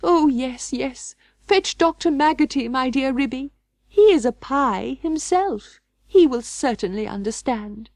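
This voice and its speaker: A woman voicing an excitable, anxious female dog in a storybook reading, flustered and melodramatic. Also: high voice, unhurried, dry recording, clean studio sound, pitched above the performer's own voice. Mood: wailing, panicked. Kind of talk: audiobook storybook character voice.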